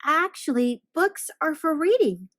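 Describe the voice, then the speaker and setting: Woman doing a high-pitched earnest little boy. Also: sing-song, measured pace, a bit bright, dry recording, clean studio sound, heavily acted. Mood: politely nervous. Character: young boy, earnest, polite but bold little brother. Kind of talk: children's storybook character voice.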